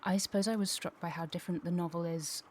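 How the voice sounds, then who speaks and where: Enthusiastic woman with a lowish voice. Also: fairly even intonation, fast, darkish tone, a little hiss or hum. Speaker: actress, interview guest. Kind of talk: casual interview chat. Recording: faint background ambience.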